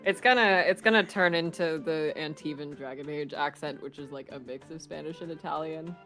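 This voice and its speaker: Woman streamer, lowish, casual and laughing, light music. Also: brisk, a bit bright. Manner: giggly, self-deprecating. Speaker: streamer voicing game characters. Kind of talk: casual group chat over a game stream.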